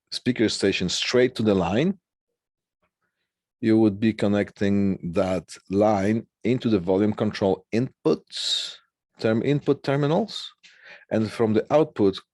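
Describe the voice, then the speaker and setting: A man teaching a technical lesson informally over slides, explaining haltingly and often checking that listeners follow. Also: fairly low pitch, darkish tone, dry recording, studio quality. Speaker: technical instructor.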